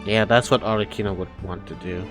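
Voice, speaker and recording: A man doing casual live gaming commentary, expressive and repetitive, with swearing. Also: lowish voice, fairly quick, music in the background. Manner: expressive, repeats phrases, swears. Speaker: gaming reaction streamer.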